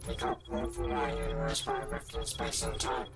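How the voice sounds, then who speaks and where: An actor voicing a cold, menacing robot soldier in an audio drama, with stilted delivery and robotic processing. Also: normal speed. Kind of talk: fan audio drama acting. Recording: loud music and effects behind.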